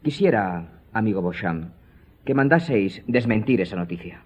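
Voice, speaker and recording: An actor playing a hot-headed, honour-bound young aristocrat in a radio drama, formal and insistent. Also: male voice, on the low side, expressive, normal speed, darkish tone, breathy edge. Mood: indignant.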